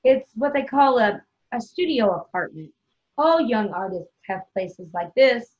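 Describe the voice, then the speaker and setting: A woman as a high-voiced anxious young wife. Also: animated, a little slow. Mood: tearful, hopeful. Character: anxious, sweet, hopeful young wife, emotionally fragile. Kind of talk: play table read. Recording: dull lo-fi online call sound.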